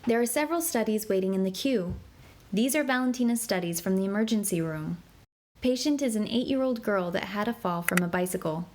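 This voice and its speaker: A woman reads scripted instructions for a children's medical app in an even, measured voice. Her pitch sits in a middle range. A steady background noise runs under the recording. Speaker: narrator of an interactive medical app for kids.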